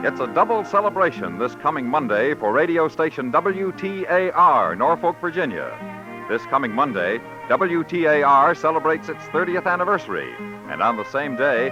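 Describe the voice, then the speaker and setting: A male American radio announcer in 1950s style, with wide swings of pitch and a formal, promotional delivery. The old broadcast is muffled, with prominent music behind him. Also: big pitch swings, normal speed.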